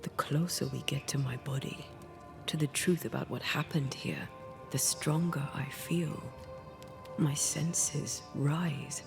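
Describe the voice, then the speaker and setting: An actress playing a passionate, brooding ghost woman in a video game, with a breathy, whispery, intimate delivery. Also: sits low, heavily acted. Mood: intense, hushed. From Cuba (the character). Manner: slow, hushed, deliberate.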